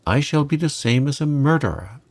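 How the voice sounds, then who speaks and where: A man's voice: fairly high pitch, animated, brisk, dry recording, pitched above the performer's own voice. A man voicing a lonely, loving young boy in a storybook, speaking with earnest pleading. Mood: torn, urgent. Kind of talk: storybook character reading.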